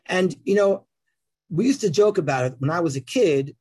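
Husky man teaching Torah. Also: sits high, animated, moderate pace, a little mellow. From Israel. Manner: conversational, anecdotal. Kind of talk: informal Torah class.

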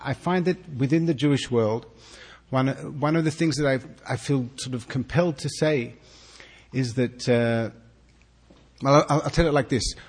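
A man with an Australian accent giving an earnest, halting welcome speech to an evening audience, often restarting his words. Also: mid-range voice, slightly rough. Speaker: consul general giving opening remarks.

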